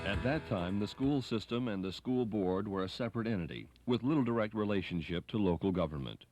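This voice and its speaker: A man's voice: on the low side, animated, fairly quick, music in the background. A man reading scripted narration for a documentary.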